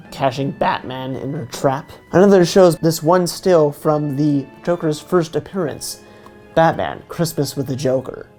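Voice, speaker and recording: A man's voice: on the high side, a little mellow. A man giving a scripted video review in an earnest, enthusiastic fan's delivery. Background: faint music.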